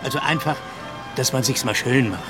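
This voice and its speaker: A man's voice: medium pitch, brisk. A man, chatting personally as an interviewee in the street. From Leipzig, Saxony, Germany. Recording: music and outdoor traffic behind.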